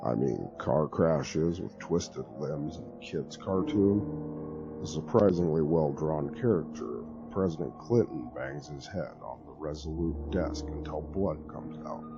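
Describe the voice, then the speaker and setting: A man with a very deep, gravelly voice reads a horror story aloud in a grave, hushed manner. Music plays clearly behind him, and the recording sounds dull and lo-fi. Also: expressive, a little slow. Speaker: narrator. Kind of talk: dramatic horror story reading. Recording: lo-fi with music underneath.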